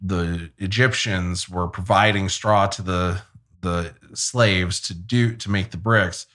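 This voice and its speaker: A man's voice: low-pitched, fairly even intonation, slow, a bit bright. A man casually retelling a Bible story in his own loose paraphrase, with jokey asides. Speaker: podcast co-host.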